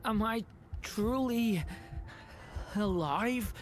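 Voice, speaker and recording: A woman voicing a frail, bewildered young dragon boy in a video game, speaking in a halting, faltering way. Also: fairly high pitch. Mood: lost, confused. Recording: long hall reverb, music behind.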